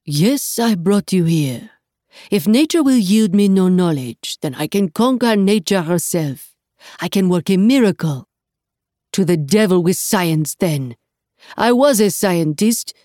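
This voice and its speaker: A woman's voice: lowish voice, animated, measured pace, dark tone, dry recording, studio quality. A woman voicing a small, passionate and proud medieval French alchemist man, courteous and declamatory, in a storybook reading. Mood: proud, defiant.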